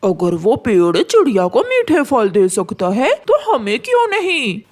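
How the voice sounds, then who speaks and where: A woman's voice: on the high side, huge pitch swings, a little slow, darkish tone, exaggerated voice. Bossy, greedy cartoon crow husband voiced by a woman. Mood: greedy, threatening. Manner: dismissive, bossy. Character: selfish, greedy, scheming male crow husband. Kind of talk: Hindi children's cartoon voice acting.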